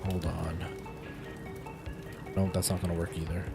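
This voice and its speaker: A man's voice: low voice, little pitch movement, unhurried, vocal fry. A man in his thirties from El Salvador with a light Canadian accent, chatting casually with viewers on a live stream, rambling with many restarts. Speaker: livestreamer. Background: faint music.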